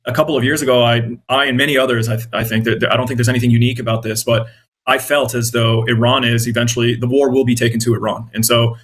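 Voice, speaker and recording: A man's voice: medium pitch, flat delivery, fast, fairly bright tone. A man with an American accent answering interview questions conversationally, explaining things in an earnest way. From born in Iraq, grew up in the United States. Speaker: interview guest, independent journalist and content creator.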